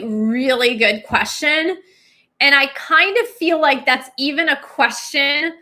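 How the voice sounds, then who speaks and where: A woman in her forties hosting a live Q&A from a small room, chatty and animated as she answers viewers, her voice a bit worn. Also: fairly high pitch. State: losing her voice. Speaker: livestream host and twin flame reader.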